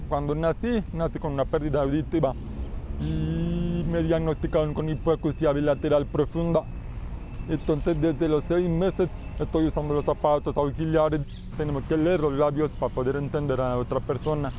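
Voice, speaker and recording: A man's voice: on the high side, flat delivery, normal speed, warm tone, slight background noise. A man with a Mexican accent giving an earnest personal testimony outdoors, explaining with slightly uneven phrasing. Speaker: testimony interviewee.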